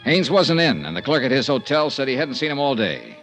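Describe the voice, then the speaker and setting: A man's voice: medium pitch, very animated, fairly quick, music in the background. An American actor playing a wry, cool private investigator in a vintage radio detective drama, narrating his case in a dry, clipped hardboiled way. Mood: matter-of-fact narration. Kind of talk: 1940s-50s radio detective acting.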